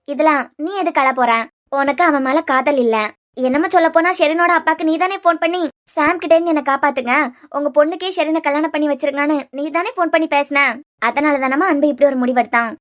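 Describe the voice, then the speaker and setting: A woman's voice: sits high, fast, natural voice. An actress playing a motherly middle-aged aunty in a TV serial, consoling a crying girl in a firm voice. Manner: firm, consoling, scolding lightly. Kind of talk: TV serial acting.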